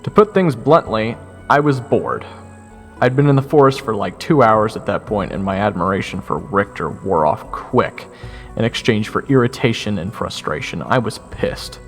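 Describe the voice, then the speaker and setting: A young man narrates an audio drama in the first person with a sardonic, expressive delivery. His voice is gravelly and lower than average, with a lively swing in pitch. Soft music plays underneath. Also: normal speed, big-room sound. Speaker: narrator and lead voice actor.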